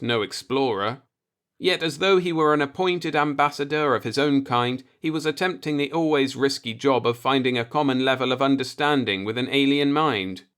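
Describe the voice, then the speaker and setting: A male audiobook narrator with a medium-pitched voice reads scripted fiction. He delivers descriptive science fiction prose as a storyteller. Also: a bit bright, smooth.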